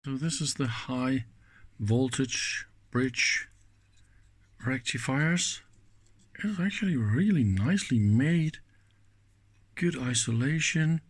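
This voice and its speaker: Slow-paced man musing over electronics. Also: medium pitch, expressive, husky. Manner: musing aloud with short exclamations. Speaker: electronics hobbyist presenting a teardown. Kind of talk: casual unscripted hands-on commentary.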